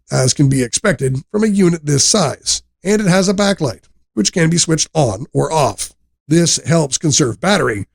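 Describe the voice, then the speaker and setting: A man reading a scripted product review narration in a measured, explanatory way. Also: fairly high pitch, creaky, darkish tone.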